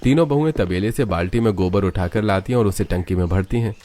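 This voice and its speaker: Low-voiced male cartoon story narrator. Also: quick pace, mellow.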